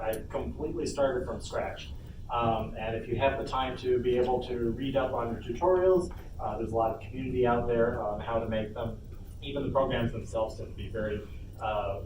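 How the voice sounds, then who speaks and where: Man presenting a workshop in an echoey room. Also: medium pitch. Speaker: workshop presenter, game developer. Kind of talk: informal instructional talk to an audience. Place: indoor convention panel room. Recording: roomy echo, distant mic, noisy convention room.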